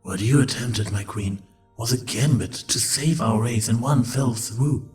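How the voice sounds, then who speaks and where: A man's voice: mid-range voice, measured pace, darkish tone, soft background music, lightly acted. A man playing a loyal, resigned creature medic in an audio drama, solemn and deferential toward his queen. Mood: sorrowful devotion.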